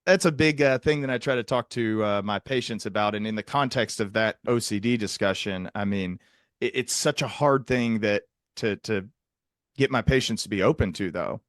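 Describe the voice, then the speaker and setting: Low-voiced man hosting, dry close sound. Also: normal speed, no echo. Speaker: host, psychiatrist interviewing a guest. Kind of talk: conversational interview with long explanations.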